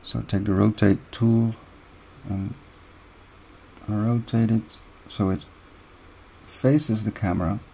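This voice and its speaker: A young man from the Netherlands with a Dutch accent, walking through a software tutorial step by step with short pauses. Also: fairly low pitch, fairly even intonation, vocal fry, a little hiss or hum. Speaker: video editing tutor. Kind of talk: screen-recorded software tutorial.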